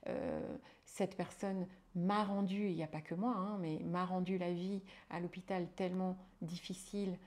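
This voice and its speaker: Hesitant woman, lowish voice. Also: fairly even intonation, normal speed, hardly any pauses, a little mellow. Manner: hesitant, many fillers and restarts. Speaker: hypnotherapist and midwife, guest. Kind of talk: casual conversational interview.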